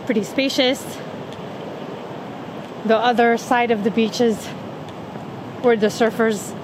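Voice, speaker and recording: A woman with a cold, losing her voice, chatting casually as she walks an outdoor coastal trail, recorded on the camera's built-in mic. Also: fairly high pitch. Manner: chatty, walking while she talks. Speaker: travel vlogger. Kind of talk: casual vlog narration while walking. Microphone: camera microphone. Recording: windy outdoor camera audio. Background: wind and outdoor noise.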